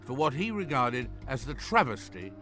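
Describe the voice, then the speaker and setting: A man narrating a documentary script in a measured, dramatic way and hosting the interview. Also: medium pitch, very animated, pushed and bright. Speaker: host and narrator. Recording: narration over clear music.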